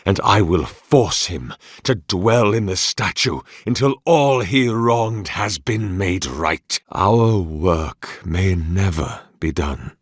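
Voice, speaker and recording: A man's voice: low voice, no echo, studio quality, exaggerated voice. A man playing an obsessive, devout and tormented zealot inventor in a video game, speaking in a solemn, formal, theatrical manner. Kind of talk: dramatic video game voice acting.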